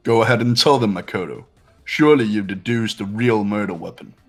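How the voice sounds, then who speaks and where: A man's voice: on the low side, fairly quick, faint music behind. A man voicing a formal, pompous, calculating young man with a superior manner, in a fan-voiced game. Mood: smug. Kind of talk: fan-voiced visual novel game acting.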